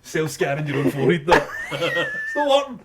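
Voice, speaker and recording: A man's voice: sits high, huge pitch swings, normal speed. A Scottish man co-hosting a podcast in casual, teasing, sweary banter. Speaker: podcast co-host. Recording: clear background noise, clicks.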